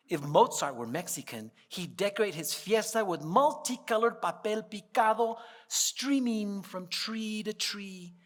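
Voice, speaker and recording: A man who is a pianist and composer, talking casually to a concert audience and reciting a poem in a playful manner, with many chuckles and dramatic pauses. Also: sits high, a bit bright. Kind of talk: informal stage talk and poem recitation. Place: concert hall stage. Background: faint audience laughter.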